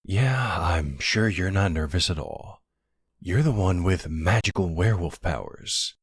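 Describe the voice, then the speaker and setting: A man playing a nervous, affectionate boyfriend in an intimate audio roleplay, hesitant and gently teasing. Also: low voice, animated, measured pace, slightly rough, dry recording, studio quality, heavily acted.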